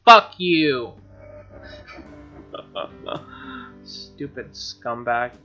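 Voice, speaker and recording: Bright-voiced, snarky man on a dull mic. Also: medium pitch, very animated, very slow. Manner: snarky, profane asides. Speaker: gaming video host. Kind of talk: reading a visual novel aloud with casual commentary. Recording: dull lo-fi mic over music. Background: game music with guitar.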